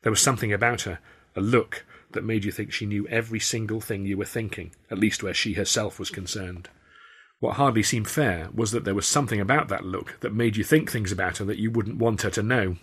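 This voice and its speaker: A man's voice: lowish voice, brisk, a bit bright, slightly rough. A man reading a novel aloud as an author and narrator, in scripted audiobook style.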